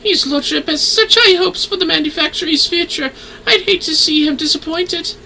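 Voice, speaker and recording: A man voicing a flamboyant, grandiose aristocrat in a video game, with florid, drawn-out exclamations. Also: extremely high pitch, creaky, sharp tone, slight background noise, voice pushed up, exaggerated voice, low-quality recording.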